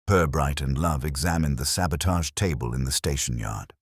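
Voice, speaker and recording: A man reading scripted mystery fiction in a measured, literary storytelling manner. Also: a bass voice, husky, no room sound, studio quality. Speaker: narrator.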